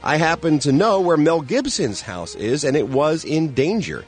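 A man's voice: a little high, very animated, moderate pace, soft background music. A man co-hosting a morning radio show, reading entertainment news with sarcastic, mock-outraged banter. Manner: sarcastic, mock-outraged, breaks into silly singing.

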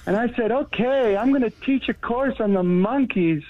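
A man's voice: very high voice, normal speed, highs cut off. A man voicing a dim, smitten slacker who poses as a music professor in an improvised comedy bit, gushing dopily. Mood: earnest, boastful. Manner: dopey, gushing, repeats a catchphrase. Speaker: comic phone-in character.

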